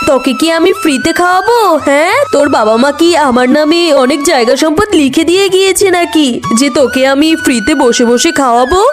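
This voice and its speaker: High-pitched, scolding cartoon aunt played by a woman. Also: natural voice. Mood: angry, threatening. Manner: nagging, sharp-tongued. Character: harsh, scolding aunt who bullies her orphan niece. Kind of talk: cartoon voice acting.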